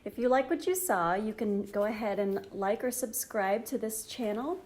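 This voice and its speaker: Female children's story narrator: mellow tone, mid pitch, enthusiastic and theatrical delivery. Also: normal speed. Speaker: storybook reader, channel host.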